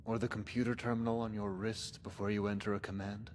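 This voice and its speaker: Game villain, male actor: cold zealot overlord, deep register, grandiose archaic delivery, faint rumbling noise behind. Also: level tone, big-room sound, close to an everyday voice. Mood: commanding. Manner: pompous, archaic, deliberate speech. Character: cold menacing zealot overlord of a post-apocalyptic cult. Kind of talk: scripted game dialogue, dramatic monologue.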